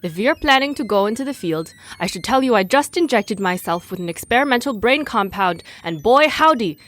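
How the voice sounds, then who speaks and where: Eccentric lady scientist, lively high-pitched voice, folksy, breezy, comedic audio drama. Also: fairly quick. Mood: chirpy, loopy. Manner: folksy exclamations, breezy and oblivious. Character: manic, eccentric, drugged woman scientist, cheerfully unhinged.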